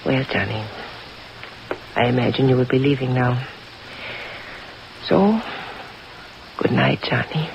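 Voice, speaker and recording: Radio noir socialite: glamorous, coolly wounded, gravelly edge, dulled old recording. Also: female voice, rumbling low, slow, pauses often, heavily acted. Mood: cool, hurt. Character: world-weary glamorous society woman, coolly wounded. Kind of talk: old-time radio drama acting. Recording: muffled old radio recording, faint hiss.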